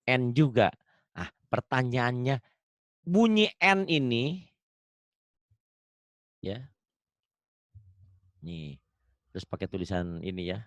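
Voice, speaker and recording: A man's voice: medium pitch, animated, takes it slowly, long pauses, no echo. A man teaching Quran recitation online, patiently drilling pronunciation and laughing often. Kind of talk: online tajwid lesson.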